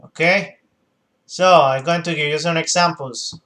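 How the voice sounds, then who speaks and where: A man's voice: a little high, a bit bright. A man from Colombia with a Colombian accent, a native Spanish speaker, commenting on a clip in English while often stopping and restarting as he hunts for words. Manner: halting, searching for words, many restarts. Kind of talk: reaction commentary.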